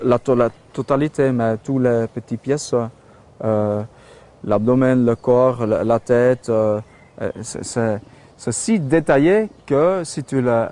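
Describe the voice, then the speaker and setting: A man's voice: mid-range voice, a little mellow. A Belgian man with a Flemish accent, speaking French hesitantly with many fillers and restarts as he shows his metal sculptures. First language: Dutch. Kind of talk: casual studio tour.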